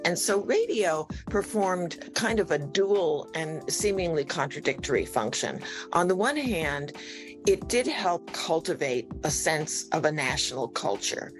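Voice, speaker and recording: A woman's voice: low voice, sing-song, measured pace. A woman explaining history conversationally as an interviewed expert. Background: music.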